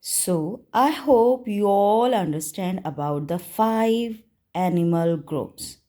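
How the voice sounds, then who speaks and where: A woman teacher narrating a simple lesson for young children, explaining deliberately in short phrases. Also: mid-range voice, warm tone. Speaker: primary school teacher.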